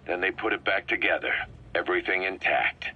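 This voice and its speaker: An actor playing an embittered, vengeful military commander in a video game, speaking in a clipped, stern, forceful way. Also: male voice, low-pitched, steady tone, brisk, phone-quality sound. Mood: businesslike, intense. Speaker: military commander briefing his boss. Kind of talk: acted radio-style briefing in a video game. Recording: band-limited radio tape, faint noise.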